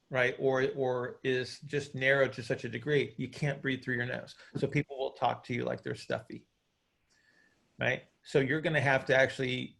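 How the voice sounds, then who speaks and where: Guy with a gravelly fry in his voice, coach talking people through stuff casually on a call, keeps checking they get it. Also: medium pitch, fairly bright tone. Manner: informal, hands-on, checks understanding with short tag questions. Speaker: host and coach. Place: group video call.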